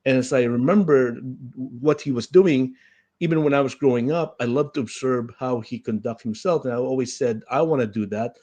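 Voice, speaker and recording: A man from the Philippines, a leadership coach answering interview questions in an earnest, measured way. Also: medium pitch, darkish tone.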